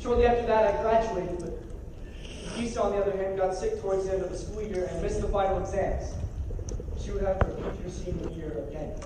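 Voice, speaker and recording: A man's voice: high voice, fairly even intonation, brisk, close to an everyday voice. A young man playing a troubled, resentful young man in an amateur stage play, with earnest, projected delivery. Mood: wistful narrating. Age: young adult. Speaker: stage actor. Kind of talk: amateur stage drama acting. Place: large theater stage, indoors. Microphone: far audience camera. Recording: noisy, echoey theater recording from far off.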